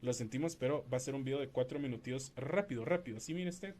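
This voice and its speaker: A man's voice: mid-range voice, fast, a little mellow. A man hosting a casual political chat, joking and laughing, speaking directly to viewers. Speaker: host.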